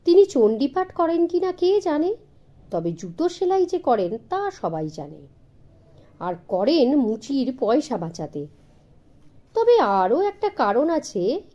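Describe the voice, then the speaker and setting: A woman narrating a children's story in an expressive, storybook style. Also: high voice, lo-fi sound.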